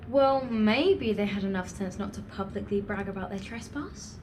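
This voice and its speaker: A woman's voice: mid-range voice, moderate pace, darkish tone, a little hiss or hum, close to an everyday voice. A woman playing a sarcastic, sceptical young video maker in an audio drama, dry and questioning, uneasy but bold. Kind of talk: naturalistic audio drama acting.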